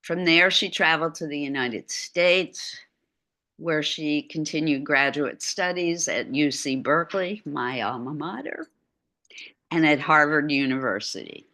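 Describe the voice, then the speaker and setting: Middle-aged woman, low bright voice, unhurried lecturer on a video call. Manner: slow, chatty asides while lecturing. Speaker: art lecturer. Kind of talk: online art lecture.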